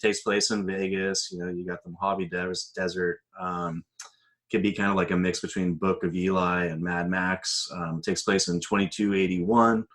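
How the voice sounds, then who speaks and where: A man's voice: low voice, level tone, moderate pace, fairly bright tone. A man co-hosting a casual podcast chat, talking in a hesitant, loosely rambling way. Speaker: podcast co-host.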